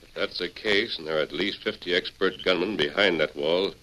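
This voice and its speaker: An actor playing a commanding, noble cowboy hero with an American accent and formal, authoritative diction, in old-time radio western style. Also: male voice, low-pitched, a little fast, sharp tone, low-quality recording. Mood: calm, resolute.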